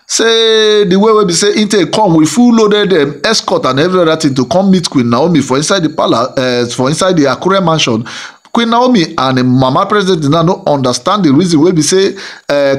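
A middle-aged man speaking with a Nigerian Pidgin accent, whose first language is Igbo, delivering a rambling celebrity gossip monologue full of fillers and repeated phrases. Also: on the high side. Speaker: gossip channel host.

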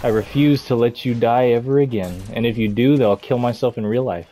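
A man streaming a shooter game, chatting casually with friends and joking around with excited callouts. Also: mid-range voice, fairly quick, slight background noise. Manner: playful, jokey, excitable callouts. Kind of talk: casual gameplay chat with friends. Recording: gunfire sounds behind the voice.

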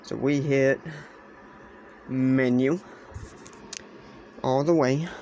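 A man giving a casual explainer demonstration in a halting way, often repeating phrases, recorded on a handheld camera indoors. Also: mid-range voice. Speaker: hobbyist presenter. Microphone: handheld camera or phone. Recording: clear steady background noise, handheld camera.

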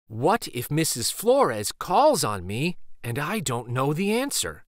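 A man's voice: on the high side, wildly swooping pitch, slow, no room sound, clean studio sound, exaggerated voice. A man voicing a timid, worried little schoolgirl in a storybook, hesitant and anxious.

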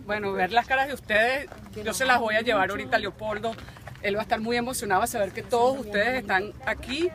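A woman's voice: on the high side, fast talker. A woman giving a rallying outdoor speech to supporters and listing what each of them can do. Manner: rallying, lists actions for supporters. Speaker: sister of a jailed politician speaking at a rally. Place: outdoors among a crowd. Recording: outdoor crowd noise.

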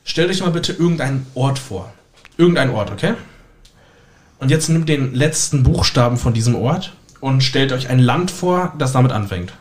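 A man's voice: mid-range voice, expressive, normal speed. A man, chatting casually as a magician guest on a podcast.

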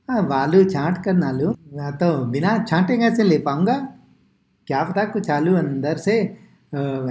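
A woman's voice: fairly low pitch, dark tone, echoey room. A woman playing argumentative, complaining village market men in a cartoon story.

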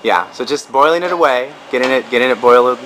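A man's voice: medium pitch, quick pace, noisy background. A man hosting a casual brewery tour, asking eager leading questions. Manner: enthusiastic, asks leading questions. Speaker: host chef. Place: small indoor brewery.

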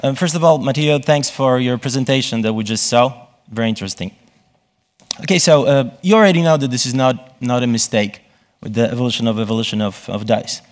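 A man gives a conference presentation from a stage, often pausing and rephrasing himself. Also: mid-range voice. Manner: halting, self-correcting delivery. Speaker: conference speaker.

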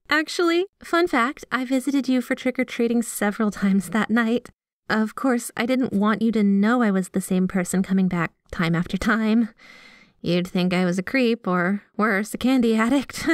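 A woman's voice: medium pitch, no room sound, studio quality, lightly acted. A woman playing a sweet, obsessively devoted yandere girlfriend in a scripted ASMR roleplay, gushing and bashful as she speaks to the listener. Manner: gushing, giggly, teasing, bashful asides.

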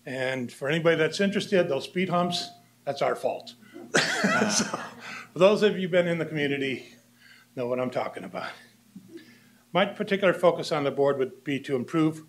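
An older man giving a public comment speech at a podium microphone in a meeting room, plain and steady with light humor. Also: fairly high pitch. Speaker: candidate for community association board.

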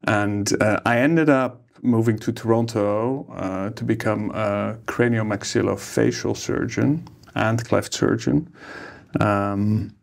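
Man, forties, low voice, gravelly texture, easygoing, hesitant, interview guest. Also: slow pace. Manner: easygoing, hesitant with many uhs. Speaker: plastic surgeon guest. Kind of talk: relaxed interview conversation. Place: ornate room, seated interview. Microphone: studio microphone on table.

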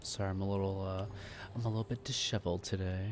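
A man's voice: deep voice, fairly even intonation, fast. A man hosting a casual let's play, chatting in a laid-back way with jokey asides. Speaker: gaming channel host. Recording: loud game music behind voice.

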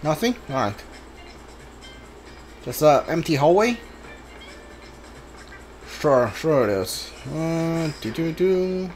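A man streams a game with casual, mumbly commentary. His voice sits on the high side for a man. Game music plays underneath him. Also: sing-song, slow pace, long pauses, a little mellow.

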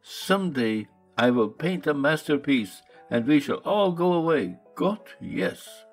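A fierce, scoffing old painter past sixty, as a man acts him out. His blustery, broken English swoops up and down widely. Soft music hums faintly underneath. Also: on the high side, sing-song, slow pace, voice pushed up, exaggerated voice. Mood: gruff. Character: fierce little old male painter, gruff.

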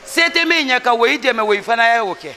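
Firm middle-aged woman, bright and high. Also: lively intonation, fast, slight background noise. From Guinea. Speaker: interviewee. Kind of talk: vox pop answer. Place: indoors by a wall.

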